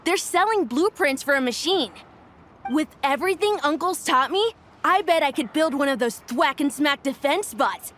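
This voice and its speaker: A woman's voice: sits high, expressive, normal speed, a bit bright, slight background noise. A woman voicing an eager, inventive young child in a video game, with an enthusiastic childlike delivery. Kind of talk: animated game voice acting.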